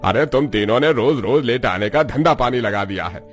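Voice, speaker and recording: Blustering cartoon factory boss, played by a man, mid-pitched, scolding yet fair, music underneath. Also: lively intonation, moderate pace, close to an everyday voice. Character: greedy, scolding but fair rich male factory boss. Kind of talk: Hindi cartoon story acting.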